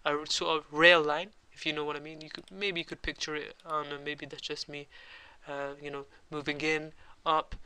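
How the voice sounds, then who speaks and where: Bright clear young man with a cold. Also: fairly high pitch, flat delivery, moderate pace, few pauses. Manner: rambling, lots of ums and uhs. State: has a cold. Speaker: tutorial host. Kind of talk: casual screen-recorded software tutorial.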